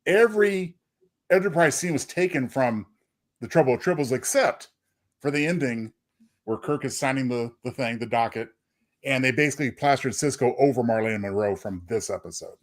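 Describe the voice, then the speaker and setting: A man's voice: medium pitch, moderate pace. A man co-hosting a casual podcast, explaining trivia in a joking, conversational way. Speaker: podcast co-host. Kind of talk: casual fan trivia chat.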